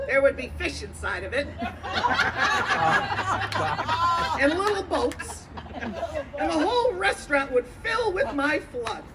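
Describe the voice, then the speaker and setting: A woman acts out a cranky, guarded woman giving a reluctant comic monologue. She speaks slowly and high, before an audible live crowd. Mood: vivid, exasperated. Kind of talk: comic dramatic monologue in a staged reading. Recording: live venue, audience and background noise.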